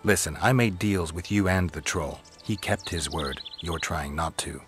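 An actor playing a stoic, gruff monster hunter in a video game, terse and deadpan. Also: male voice, low voice, moderate pace, faint music behind. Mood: stern.

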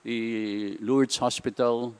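An older Filipino-accented priest gives a homily at a lectern mic in a big church. His pace is very slow and his pitch is middling. He stops often on "uh" and moves between English and Tagalog. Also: steady tone, darkish tone, clear, resonant tone. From Philippines. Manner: slow, halting delivery with frequent "uh" fillers, switching between English and Tagalog. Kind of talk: sermon. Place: large church, indoors. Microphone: stand mic at the lectern.

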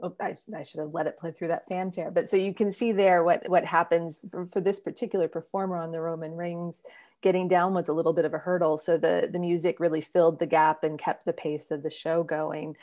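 Low-voiced woman moderating an online panel. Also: darkish tone. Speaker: panel moderator, museum curator. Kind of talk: conversational presenting on a video call. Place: small room at home, indoors. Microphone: wired earbuds on a video call.

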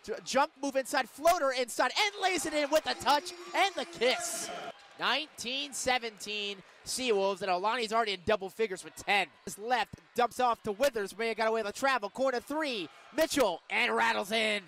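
This is a man with a very high-pitched voice calling basketball live on the radio. He is an excitable announcer who raises it to a shout for big plays. He is in an indoor arena. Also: moderate pace, a little hiss or hum.

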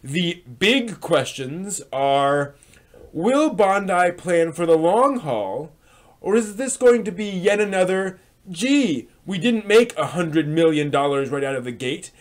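A man's voice: high voice, lively intonation, slow pace. A man in his thirties hosting a dramatic reading of old online posts with spoken asides, theatrical and emphatic, recorded in a small room at home. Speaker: host.

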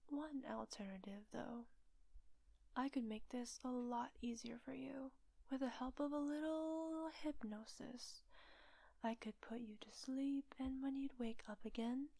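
A teasing female kidnapper acted by a woman in an intimate audio roleplay. Her voice is fairly high but mellow, with a gravelly creak under the soft whisper. She sounds smug and coaxing, calmly in control. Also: no room sound. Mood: cool, menacing. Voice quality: soft, breathy, often whispery. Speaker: voice actor.

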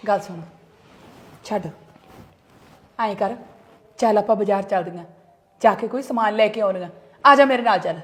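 A woman's voice: medium pitch, lightly acted. A middle-aged woman, acting a defensive mother who argues back and scolds her sister-in-law in a family skit. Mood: coaxing. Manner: argumentative, quick retorts, scolding.

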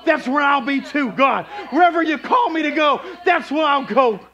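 A man's voice: very high voice, lively intonation, normal speed, pushed and bright. A man preaching a fervent charismatic revival sermon in a declamatory, exhorting manner with repetitive phrasing, in a dull, muffled recording.

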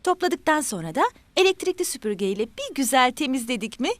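A woman playing a patient, affectionate young mother in a children's cartoon, speaking in a gentle, encouraging, instructive way. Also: sits high, very animated, brisk, no room sound.

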